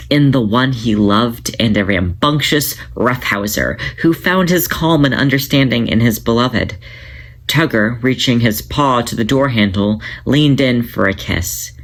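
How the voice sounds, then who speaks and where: Guy reading his own fanfic out loud, steady and measured, middle pitch. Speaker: author reading own fan fiction. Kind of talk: podfic story narration.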